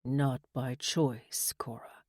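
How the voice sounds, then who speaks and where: A man playing a smug, brooding, seductive military love interest in a romance audiobook, with an intimate, low-key delivery. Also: level tone, slow, darkish tone, no echo, clean studio sound. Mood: earnest, brooding.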